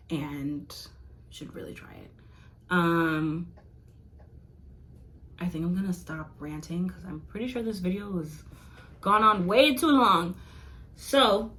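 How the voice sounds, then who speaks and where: A young woman chatting casually to her viewers in a rambling review, laughing often and filling pauses with "like" and "um". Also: fairly low pitch, a bit bright. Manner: chatty, giggly, rambling with many fillers. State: losing her voice. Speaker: vlogger reviewing comics.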